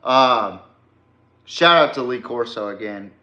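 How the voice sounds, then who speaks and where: A man from Texas hosts a sports podcast in a roomy, echoing space. His voice is bright and forceful, and he takes his time as he talks. The delivery is informal and slangy, with a folksy touch. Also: medium pitch, lively intonation, takes it slowly, highs cut off. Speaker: podcast host. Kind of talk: casual sports talk to viewers. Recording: roomy, echoey sound.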